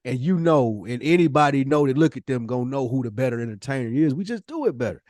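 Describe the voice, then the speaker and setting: A man's voice: medium pitch, expressive, quick pace, darkish tone, no echo. Casual, emphatic American man, dry close sound. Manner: emphatic, colloquial, slangy. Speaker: podcast co-host. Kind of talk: casual opinionated conversation.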